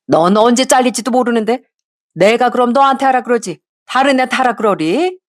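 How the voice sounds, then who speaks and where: A woman voicing a bossy older mother-in-law who nags and yells at her daughter-in-law. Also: on the high side, lively intonation, fairly quick, pitched above the performer's own voice, lightly acted. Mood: scolding. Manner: sharp, nagging, raises voice to yell.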